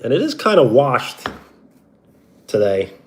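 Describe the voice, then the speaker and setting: A young man from New York talks in a Brooklyn accent, chatting loosely with the viewers of his live stream. His pitch swings up and down a lot as he calls out names with a big stretched "yo, what up". Between greetings he drops into an easy sports-radio delivery. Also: sing-song, slow pace.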